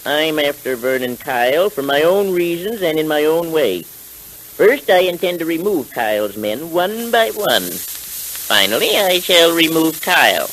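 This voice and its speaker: An actor playing a mysterious, calm masked vigilante, measured, commanding and formal, in 1930s radio western style. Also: male voice, a little high, low-quality recording. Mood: grimly resolved. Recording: hissy vintage 1930s radio transcription.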